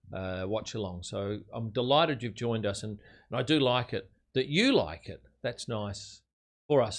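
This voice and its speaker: An older Australian man hosting a casual livestream, chatting and commenting on a race in a matey, friendly way. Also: on the low side. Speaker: livestream host.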